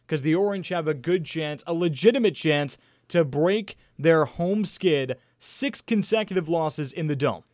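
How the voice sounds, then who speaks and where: A man co-hosting a sports radio show with a fairly high voice, loud and joking, full of energy. The studio sound is dry and close. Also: expressive, measured pace, dry recording. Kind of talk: casual sports talk radio.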